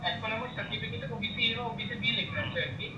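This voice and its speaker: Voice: medium pitch, fast talker, echoey room. A young adult caller making a polite, deferential but persistent enquiry on a replayed phone call. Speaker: caller asking about exam certificates. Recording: noisy, distant, dull replayed phone-call recording.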